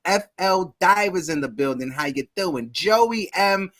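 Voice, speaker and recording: A man in his thirties hosting a casual sports talk livestream, giving upbeat shout-outs to viewers in the chat. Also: sits high, lively intonation, a little slow. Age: 30s. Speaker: podcast host.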